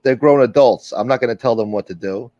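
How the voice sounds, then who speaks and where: A man's voice: mid-range voice, a little fast, a little mellow, no echo. A middle-aged man hosting a livestream, chatting casually and reading viewer comments, rambling and candid with frequent fillers and swearing. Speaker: livestream host. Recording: dull, lo-fi stream audio.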